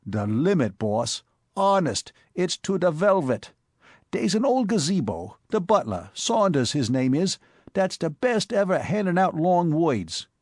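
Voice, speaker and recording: A man voicing a brash, streetwise young male crook with a New York Bowery accent and slangy, excitable talk. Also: on the high side, a little slow, dry recording, studio quality, pitched above the performer's own voice. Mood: boastful.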